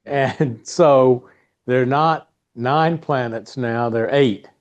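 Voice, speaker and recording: A man hosting a meeting, giving informal announcements and introducing a guest in a halting, easygoing way. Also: medium pitch, dull audio. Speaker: club meeting host. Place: indoor meeting room.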